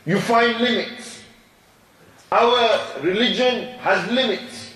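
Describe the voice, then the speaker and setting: A man's voice: high voice, a bit bright, recorded from a distance. A man, an imam giving a sermon, preaching with repetition and rhetorical questions. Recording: echoey room from afar.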